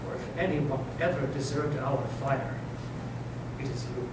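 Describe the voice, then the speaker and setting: A man playing a stern, bitter old Grand Inquisitor in readers theater, declaiming from hushed menace up to forceful pronouncement. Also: medium pitch, steady tone, unhurried, natural voice. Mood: menacing. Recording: echoey noisy hall, distant microphone.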